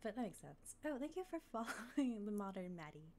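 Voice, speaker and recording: A woman live-streaming a game, chatting casually with fillers and thanking followers, her voice slightly raspy as she comes down with a cold. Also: medium pitch, very animated, fairly quick, a little mellow. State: coming down with a cold.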